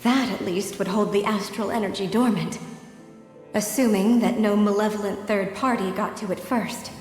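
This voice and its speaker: An actress playing a remorseful ancient maiden, speaking a solemn, measured, sorrowful monologue in a video game. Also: female voice, mid-range voice, a little mellow, lightly acted. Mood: grave resolve. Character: remorseful ancient maiden confessing her doom. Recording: echoing long reverb, music behind.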